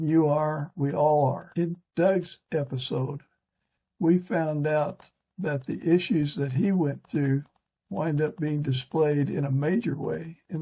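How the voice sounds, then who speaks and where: A male podcast host with a fairly high-pitched, husky voice. He reads prepared introductions in a mellow tone, and the audio is slightly dull. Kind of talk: scripted podcast narration with interview interjections.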